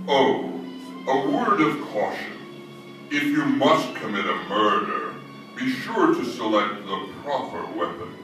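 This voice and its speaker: A man playing a gleefully macabre host of an old-time radio horror show, with wry theatrical delivery. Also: lowish voice. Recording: echoey, distant stage recording with music.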